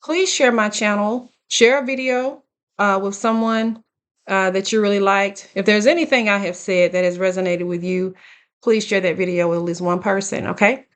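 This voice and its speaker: A woman in her late thirties giving casual, earnest life advice straight to her viewers, speaking deliberately and checking in with them. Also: mid-range voice, fairly even intonation. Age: late 30s. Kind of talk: casual direct-to-camera advice talk.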